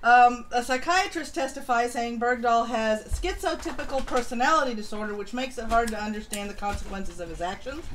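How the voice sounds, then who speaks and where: A woman's voice: on the high side, a little fast, few pauses, a little hiss or hum. A woman hosting a live stream, chatting casually and bluntly about the news and talking to her viewers. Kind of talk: casual news commentary and chat. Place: home live stream over voice chat.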